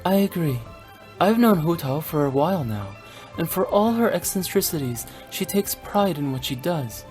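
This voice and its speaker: A woman's voice: sits low, big pitch swings, moderate pace, darkish tone. A woman playing a graceful, polite young opera performer in a video game, earnest and poised. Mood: reassuring. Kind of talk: game voice acting. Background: background music.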